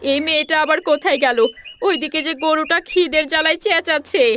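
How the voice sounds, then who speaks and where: A woman's voice: high-pitched, steady tone, fast, background noise, lightly acted. A woman voicing a nagging, exasperated village housewife and mother in a cartoon fairy tale, scolding and complaining.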